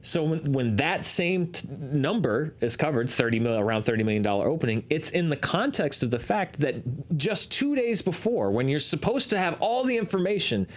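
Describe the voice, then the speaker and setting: A man's voice: on the high side, sing-song, moderate pace, hardly any pauses. A man hosting a talk show, giving analytical commentary in an explanatory way, often restarting and repeating points for emphasis. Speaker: host of a box office discussion show.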